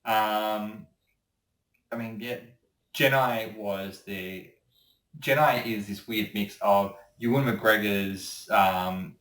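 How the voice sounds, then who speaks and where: A man's voice: on the low side, slow pace, fairly bright tone. Man in an echoey interview, distant mic. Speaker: interviewee, amateur voice actor. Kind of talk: casual chat interview. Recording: roomy echo, distant microphone.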